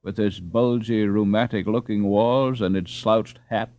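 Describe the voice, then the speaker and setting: A man's voice: on the low side, steady tone, a little slow, darkish tone, no echo. Man narrating a storybook expressively. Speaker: audiobook reader.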